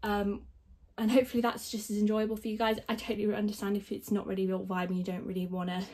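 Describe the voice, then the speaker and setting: A young woman with a croaky voice from concert screaming, chatting casually and rambling while she sorts collector binders at a table. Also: medium pitch, level tone, fast, warm tone. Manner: chatty, self-deprecating, rambling, thinking aloud. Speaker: k-pop collector vlogger. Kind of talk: casual rambling narration over binder organizing. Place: indoors at a table, filming the binder from above.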